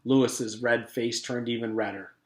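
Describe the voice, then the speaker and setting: A man's voice: mid-range voice, fairly even intonation, normal speed, roomy sound. A man reading a children's story aloud as a teacher would to his class. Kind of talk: storybook read-aloud with character voices.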